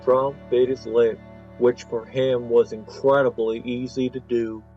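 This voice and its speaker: A man's voice: mid-range voice, level tone, unhurried, muffled recording, lightly acted. A man narrating a parody game story in a dramatic, scripted storytelling style. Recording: loud music under the voice.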